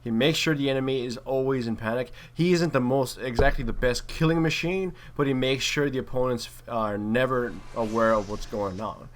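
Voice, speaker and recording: A man's voice: mid-range voice, normal speed, hardly any pauses. A man giving casual, chatty commentary on a video game replay as one of the players.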